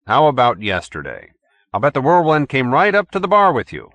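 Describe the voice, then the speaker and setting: A man's voice: medium pitch, wildly swooping pitch, brisk, fairly bright tone, dry recording, voice pushed up. A man voicing an exasperated managing editor, brusque, bossy and skeptical, in an audiobook story.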